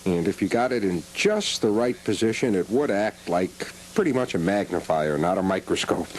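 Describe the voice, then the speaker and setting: A man with a very low voice answers questions as a collector, explaining patiently and slipping in dry wit. A faint hum sits under the aged cable TV recording. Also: expressive, moderate pace, low-quality recording. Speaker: guest collector. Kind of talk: casual interview chat.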